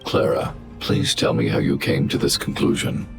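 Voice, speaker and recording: A man voicing a large, stern, protective robot in a video game, speaking in a formal, coldly logical, analytical way. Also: natural voice. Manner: formal, analytical, measured, stating probabilities. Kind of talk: video game character dialogue. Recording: game music behind the voice. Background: game music.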